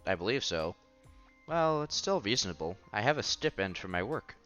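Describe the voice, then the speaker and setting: A man's voice: on the low side, very animated, normal speed, a bit bright, dull audio. A man hosting a casual read-aloud playthrough of a visual novel, reading narration and dialogue with occasional stumbles and side comments. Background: background music.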